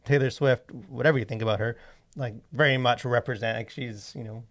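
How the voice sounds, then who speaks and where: A man hosting a casual podcast discussion, talking in a halting, rambling way full of fillers. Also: mid-range voice, fairly even intonation, a bit bright. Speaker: podcast host.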